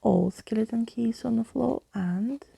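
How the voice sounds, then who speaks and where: A woman reading a bedtime story in a soft ASMR whisper, close to the microphone. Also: on the high side, a little slow, creaky, husky. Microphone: close microphone, dry.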